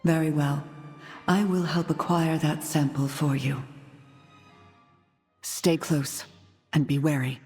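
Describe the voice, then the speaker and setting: An actress playing a gentle, earnest undead noblewoman in a video game, with a breathy voice and formal, measured delivery. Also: low voice, fairly even intonation, full character voice. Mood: polite, resolute, then wary. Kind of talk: video game cutscene acting. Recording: long reverb, music behind.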